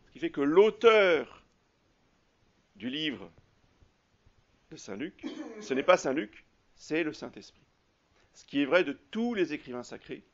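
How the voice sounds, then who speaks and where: A man preaching a sermon as a priest, halting often with fillers and restarts. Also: fairly high pitch, creaky, a bit bright, highs cut off. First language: French.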